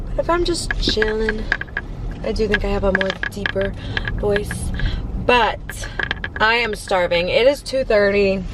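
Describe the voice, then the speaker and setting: A young woman chatting casually in a parked car, talking fast and filling her talk with "like". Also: medium pitch, expressive, noisy background. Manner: chatty, filler-heavy, lots of "like". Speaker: vlogger. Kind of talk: casual car mukbang chat. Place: parked car at a drive-through.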